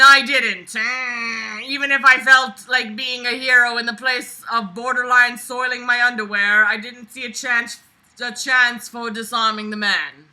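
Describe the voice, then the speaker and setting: A woman with a very bright, piercing voice reads a book aloud for an online audience. She comments as she goes in a sarcastic, exasperated and freely swearing manner. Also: a little high, rough recording. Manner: sarcastic, exasperated, foul-mouthed.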